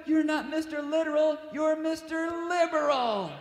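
A man's voice: very high voice, normal speed. A man hosting a radio comedy show as the folksy, exasperated straight man. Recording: echoey hall with music.

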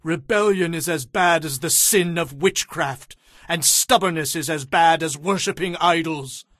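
Casting: righteous, stern prophet for a Bible audio drama, high male voice, commanding, declamatory, dry sound. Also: unhurried, a bit bright, no echo. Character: stern, righteous Hebrew prophet rebuking a king.

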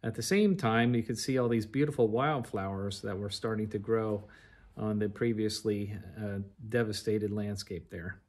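A man's voice: on the low side, steady tone, normal speed, darkish tone. Middle-aged man narrating a travelogue. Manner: recounts in an orderly, chronological, detailed way. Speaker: narrator of a travel slide show.

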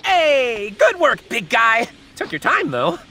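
Cheeky high-pitched young schemer. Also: female voice, very animated, takes it slowly, bright, forceful tone, slight background noise, exaggerated voice. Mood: breezy. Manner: breezy, teasing, slangy. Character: cheeky, irreverent young schemer, flippant and excitable. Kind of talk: animated video game voice acting. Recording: music under the voice. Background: music.

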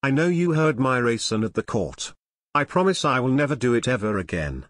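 A man's voice: mid-range voice, fairly quick, dry recording, clean studio sound. Synthetic male voice as a whiny jailed son. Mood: pleading. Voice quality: flat synthetic text-to-speech voice. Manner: whiny, pleading. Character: young man jailed, pleading with his father.

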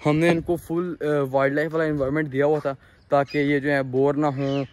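A young man with a Pakistani accent, talking casually and haltingly to viewers as a farm-owning vlogger, outdoors on a phone. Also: a little high, little pitch movement, darkish tone. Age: young adult. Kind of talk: casual vlog tour, explaining to viewers. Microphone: handheld phone at arm's length.

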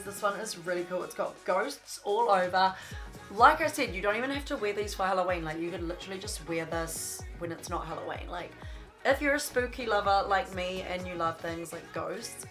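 A woman's voice: fairly low pitch, bright, forceful tone. A young woman, blocked up from a cold, gushing casually through a clothing haul in a small bedroom studio. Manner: chatty, gushing, lots of "like". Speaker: fashion vlogger.

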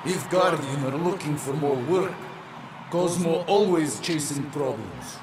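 A man voicing a gruff, dutiful talking space dog with a Russian accent and clipped grammar. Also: fairly high pitch, unhurried, close to an everyday voice. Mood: stern. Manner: clipped, article-dropping speech. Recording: roomy, with clear background noise.

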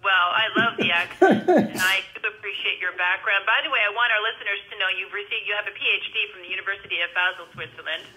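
A woman's voice: mid-range voice, fast talker, hardly any pauses, sharp tone, poor audio. A woman hosting a radio interview show, upbeat and friendly, speaking into a headset mic. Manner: upbeat, prays on air.

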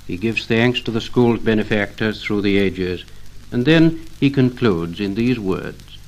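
A man's voice: lowish voice, unhurried, darkish tone, poor audio. A man with a British received pronunciation accent gives formal, lyrical 1950s documentary narration in a solemn, reverent manner. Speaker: narrator of a commemorative record. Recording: 1950 LP recording, music behind voice.

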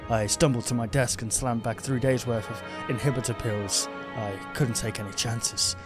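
A man voicing a brooding, haunted, self-doubting noir detective from a video game, in measured, moody hard-boiled narration. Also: mid-range voice, steady tone, over music, lightly acted. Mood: grim, anxious.

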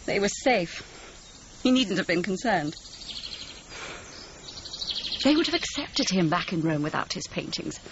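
An actress playing a dignified, sorrowful aristocratic patroness in a radio drama, formal and measured. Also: female voice, lowish voice, big pitch swings, dull audio, noisy background. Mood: grave. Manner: formal, grave, measured short sentences.

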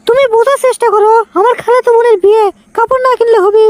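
A man's voice: very high-pitched, fairly even intonation, fast talker, dry recording. A man voicing a bossy, impatient young girlfriend in a comic cartoon, scolding with a Bogura accent from northern Bangladesh. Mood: exasperated, reasoning. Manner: scolding, exasperated.

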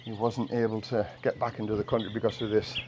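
An older man with an English accent chatting casually while washing his car, in a monotone voice and a rambling storytelling manner. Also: fairly low pitch, fast, noisy background. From England. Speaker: vlogger. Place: outdoor carport of a house. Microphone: camera mic from a few meters away. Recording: outdoor camera audio with birdsong. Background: birds and rural outdoor ambience.

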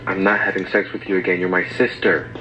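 Low-pitched young man, brazen casual phone-call prankster, music in background. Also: level tone, fast. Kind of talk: staged loud fake phone call. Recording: dull lo-fi playback with music behind.

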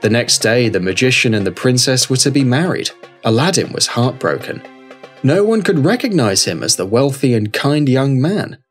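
Animated male children's story narrator. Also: mid-range voice, moderate pace. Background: background music.

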